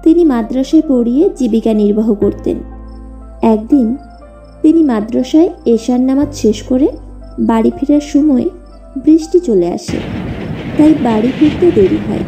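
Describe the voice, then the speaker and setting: Woman storyteller with a very soft, mellow tone. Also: on the high side, moderate pace, long pauses, soft background music. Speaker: story narrator. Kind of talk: scripted cartoon moral story narration.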